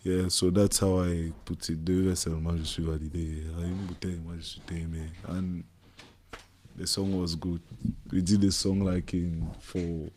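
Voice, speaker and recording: A young man from Cameroon, a musician guest, chatting casually in an interview with a deep voice and a reflective manner. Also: flat delivery, moderate pace, a little mellow, a little hiss or hum. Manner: switches between French and English, reflective.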